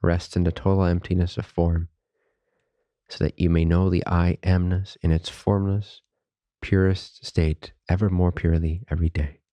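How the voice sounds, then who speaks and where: A man guides a meditation in a very low, mellow voice. He speaks softly and calmly, leaving long pauses between reverent phrases. His delivery stays very even, close and dry. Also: no room sound. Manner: calm, unhurried, reverent spiritual phrasing. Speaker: meditation teacher.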